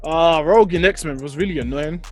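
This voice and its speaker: A man's voice: on the high side, normal speed, darkish tone, faint music behind. A man with a London accent in casual chat, slangy and given to emphatic repetition. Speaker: podcast panel member.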